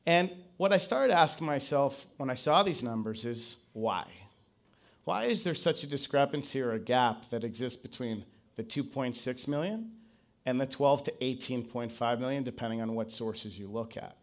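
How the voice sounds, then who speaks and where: A man's voice: mid-range voice, lively intonation, moderate pace. Man giving an engaging business talk. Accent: non-Brazilian English accent. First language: English. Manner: engaging, asks the audience to raise hands. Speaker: conference speaker. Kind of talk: business presentation to an audience. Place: conference stage.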